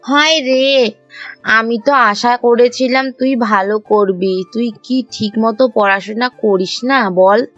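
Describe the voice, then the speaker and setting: A woman playing a caring, firm but forgiving mother of a teenage son in an audio story, gently chiding and encouraging. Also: fairly high pitch, takes it slowly, no echo, dull audio, faint music behind. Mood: concerned, questioning. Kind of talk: Bengali audio story acting.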